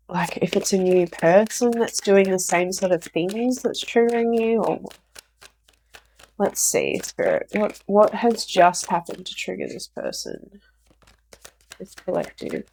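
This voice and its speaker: A woman giving a casual tarot reading for viewers, croaky from a recent flu, rambling and repeating herself. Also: on the low side, slight background noise. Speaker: tarot reader.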